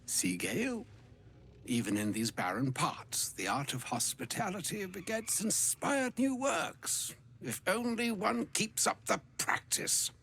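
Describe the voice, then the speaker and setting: An actor playing an ancient, pompous, grumbling old wizard in a video game, with ornate archaic speech. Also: male voice, high-pitched, sing-song, a little slow, creaky, a bit bright, a little hiss or hum, exaggerated voice. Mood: grumbling, weary. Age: older. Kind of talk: video game character acting.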